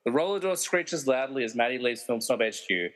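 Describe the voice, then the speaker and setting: Man narrating with lively pitch swings. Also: fairly quick, low-quality recording. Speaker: narrator reading stage directions. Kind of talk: scripted narration of a radio play.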